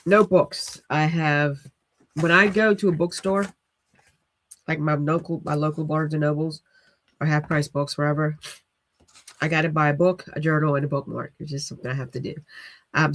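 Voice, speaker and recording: A man with a somewhat high, nasal voice, talking casually on a livestream. He rambles, restarts his sentences and leans on fillers. The sound is dry and close, with no room around it. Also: fairly bright tone, no echo. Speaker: livestream host.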